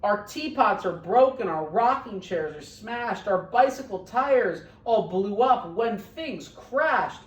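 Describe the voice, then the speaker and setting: A woman's voice: medium pitch, expressive, takes it slowly, hardly any pauses. A woman voicing a tiny, distressed storybook mayor who pleads plaintively. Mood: plaintive, pleading. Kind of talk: storybook read-aloud. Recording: roomy echo, distant microphone.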